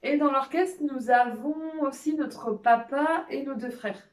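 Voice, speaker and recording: A woman in her twenties, a wind band musician, giving plain, steady interview answers. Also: high voice, unhurried. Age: 20s. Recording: echoey and distant.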